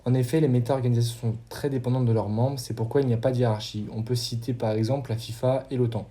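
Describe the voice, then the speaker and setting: A young man hosts a scripted quiz show and rattles off his questions at a very quick pace. His voice has a dark, mellow tone, and he reads every explanation in a steady announcer manner. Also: medium pitch. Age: young adult.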